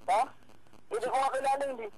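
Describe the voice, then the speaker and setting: Defensive Filipino male, rapid argumentative delivery, on a phone with a noisy crowd around. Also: extremely high pitch, sing-song, vocal fry. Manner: defensive, repeats himself, tag questions. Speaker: rapper receiving a prank call. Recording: phone call with crowd noise behind.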